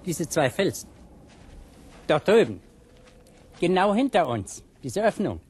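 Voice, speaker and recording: Eerie, knowing gentleman guide, swooping intonation. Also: sits high, big pitch swings, slow, husky, exaggerated voice. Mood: assured, beckoning. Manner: assured, theatrical, bookish. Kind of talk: German radio drama acting.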